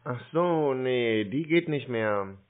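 A man on a phone call, relaxed and playfully stalling, slowly spelling things out to a caller. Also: mid-range voice. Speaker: scam-baiting call recipient. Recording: phone-line audio.

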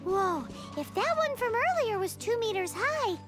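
A woman voicing a tiny, cheeky, childlike fairy companion in a video game, blunt and squeaky. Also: big pitch swings, a little slow, over music, full character voice. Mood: puzzled, cheeky.

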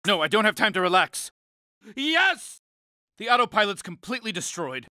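A grumpy uptight cartoon alien boss, really high sharp voice, exasperated and pompous. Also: male voice, big pitch swings, normal speed, no room sound, clean studio sound, exaggerated voice. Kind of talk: animated cartoon game voice acting.

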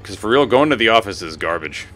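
A man's voice: fairly low pitch, expressive, fast, fairly bright tone. A man in his thirties chatting casually on a gaming livestream, informal and slangy with frequent swearing. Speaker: game streamer. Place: home room. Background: music.

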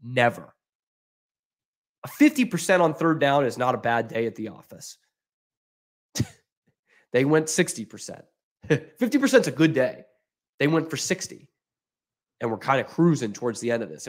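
A young man hosting a live postgame sports podcast, ranting in an exasperated, sarcastic way and talking straight to his viewers from a small room at home into a desk microphone. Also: mid-range voice. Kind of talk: postgame analysis, casual rant. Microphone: desk microphone in front of him.

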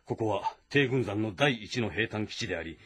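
A military strategist in a historical game drama. His husky voice moves expressively through formal, measured briefings, composed and respectful toward his lord. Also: on the low side, expressive, a bit bright. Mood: composed, assured.

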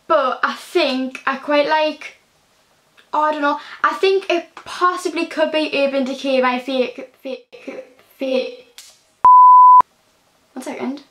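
A woman's voice: high-pitched, fairly even intonation, takes it slowly, long pauses, noisy background. A teenage girl with a Geordie accent chatting casually in a bedroom Q&A vlog, full of ums and likes, recorded on a phone. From Newcastle, England. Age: early teens. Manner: chatty, many ums and likes, gestures while talking. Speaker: beauty vlogger. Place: small bedroom indoors. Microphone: phone camera at close range.

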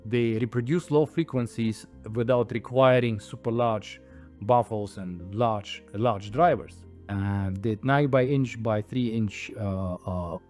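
A man in his thirties or forties, an audio designer, explaining products to camera on a lapel mic in a halting, emphatic way. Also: fairly low pitch, a little mellow. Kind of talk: explaining products to camera, semi-scripted talk. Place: indoor audio showroom. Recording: music playing behind the voice. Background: music.

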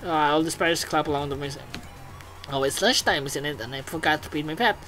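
A woman doing let's play commentary, excitable and sweary, repeating phrases rapidly as she reacts and reads game text. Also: deep voice. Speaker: video game streamer. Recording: game music behind the voice. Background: video game music.

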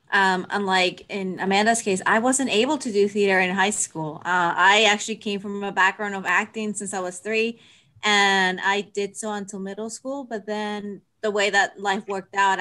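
A woman's voice: medium pitch, fairly bright tone. A woman in her twenties talking casually and personally on a video call, earnest and heartfelt. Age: 20s. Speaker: amateur stage actor. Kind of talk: personal, casual panel talk. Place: indoor room on a video call.

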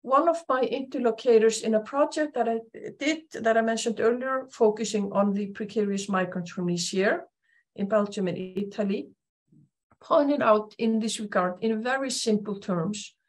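A middle-aged woman with an Icelandic accent, presenting an academic lecture in a measured, thoughtful way with frequent pauses. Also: on the high side, low-quality recording. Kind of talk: recorded conference lecture over slides.

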